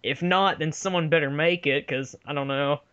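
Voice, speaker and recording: A man's voice: fairly high pitch, moderate pace, fairly bright tone, smooth. A young man hosting a casual fan movie commentary, rambling and giving his opinions in an offhand chat. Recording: slightly dull lo-fi home recording.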